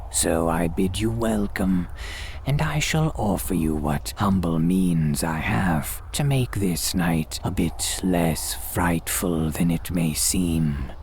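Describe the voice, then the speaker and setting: Very slow, sinister graveyard priest. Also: male voice, low-pitched, creaky, darkish tone, slight background noise, exaggerated voice. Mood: ominous welcome. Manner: slow, ominous, formal archaic phrasing, dropping into whispers. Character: sinister old graveyard priest, courtly, eerie host. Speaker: horror tale host. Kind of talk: theatrical gothic radio drama monologue.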